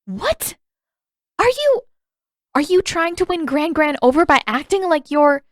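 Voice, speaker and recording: Woman voicing a high, fiery teenage girl. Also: big pitch swings, a little slow, no echo, clean studio sound. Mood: indignant. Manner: exasperated, emphatic, argumentative. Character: fiery, indignant, defiant teenage girl waterbender. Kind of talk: audiobook-style fan fiction character reading.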